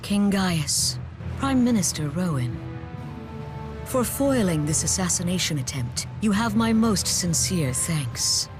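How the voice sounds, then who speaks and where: An actress voicing a composed, shrewd female chancellor in a video game, formal and measured in her diplomacy. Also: fairly low pitch, expressive. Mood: grateful, formal. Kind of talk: English video game voice acting. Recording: loud game music behind the voice.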